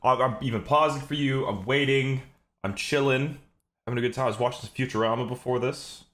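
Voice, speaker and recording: A man's voice: mid-range voice, steady tone, fairly quick, a bit bright. A man giving casual gameplay commentary as a streamer, griping with exclamations and swearing. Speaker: video game streamer.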